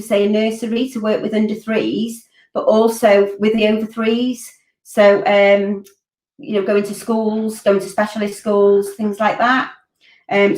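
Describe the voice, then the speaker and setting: A woman in her forties hosting a college Q&A stream from home, answering viewer questions informally with lots of ums and restarts on a laptop webcam. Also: mid-range voice. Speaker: college curriculum director hosting a Q&A. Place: home room, indoors. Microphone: laptop webcam on a video call. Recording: roomy laptop mic from a distance.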